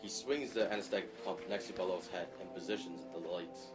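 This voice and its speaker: A man's voice: low voice, brisk, over music. A man narrating scripted stage directions for an audio drama.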